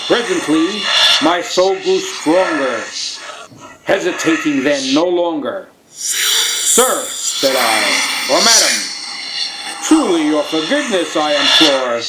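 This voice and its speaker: A man reciting poetry as an actor, in a dramatic, brooding, theatrical manner with drawn-out phrasing. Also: on the high side, animated, heavy background noise. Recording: music under the voice. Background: instrumental music.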